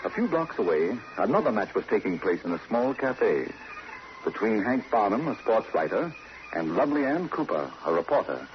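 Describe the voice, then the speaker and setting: Theatrical, low-voiced mystery club librarian. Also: male voice, big pitch swings, normal speed. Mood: dramatic. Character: cordial, eerie male librarian of mystery club. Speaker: host and announcer. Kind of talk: 1940s radio mystery hosting, scripted. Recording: muffled old radio recording, faint noise.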